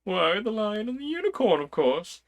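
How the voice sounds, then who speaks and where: A man voicing a fussy, excitable old king in a storybook read aloud, in a high, fluttery, exclaiming voice. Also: normal speed.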